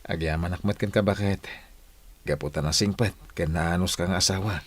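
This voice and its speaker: A man's voice: low voice, normal speed. A man with an Ilocano accent acting a humble, devout family man in a radio drama. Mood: tender, grateful.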